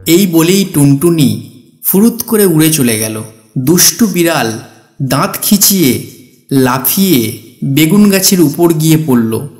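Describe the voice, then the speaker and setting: Casting: male storyteller for a children's cartoon, fairly high voice, scripted narration over faint background music. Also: lively intonation, a little slow, long pauses, a little mellow.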